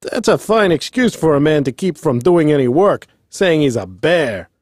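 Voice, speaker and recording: A man voicing an angry factory foreman in a storybook, loud, scornful and bullying. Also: a little high, very animated, normal speed, dry recording, studio quality.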